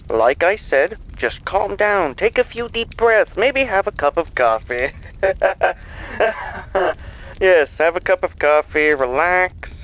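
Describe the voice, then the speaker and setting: Audio drama villain: gleeful mocking mastermind, slow theatrical delivery, swooping higher-pitched voice, falsely soothing. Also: male voice, a bit bright, exaggerated voice, low-quality recording. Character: gleeful, mocking, sinister male mastermind on the phone.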